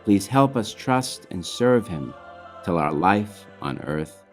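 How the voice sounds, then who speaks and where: A man reads rhyming children's storybook verse as its author, in a measured, expressive way with phrase endings trailing softly. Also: on the low side. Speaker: author reading own children's book. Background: music.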